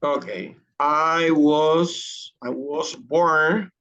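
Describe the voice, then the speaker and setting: A man's voice: on the high side, very slow, a bit bright. A man teaching an English class online, patient and repeating his questions to coax students to speak.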